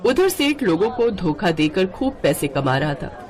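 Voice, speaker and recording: A woman narrating a children's moral story in an expressive scripted storytelling style. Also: lowish voice, a little slow, crowd noise.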